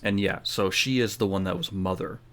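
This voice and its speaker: A man's voice: low voice, fairly even intonation, a little fast, a bit bright. A man giving casual, chatty commentary as he streams a game playthrough, in a laid-back manner. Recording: faint game sound behind voice.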